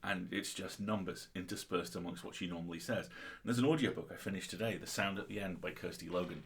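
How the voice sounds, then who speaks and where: A man's voice: deep voice, fast talker. A man co-hosting a casual literary discussion, speaking in an enthusiastic, articulate and opinionated way. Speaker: co-host of a story reading livestream.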